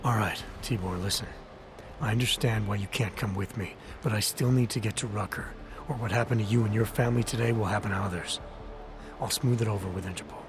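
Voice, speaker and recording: Man acting low, brisk, controlled covert agent over music. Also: close to an everyday voice. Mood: calm, persuasive. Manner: terse, matter-of-fact, restrained. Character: calm, determined male covert agent, controlled and businesslike. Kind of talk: dramatic video game acting. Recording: game dialogue under loud music. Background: game music.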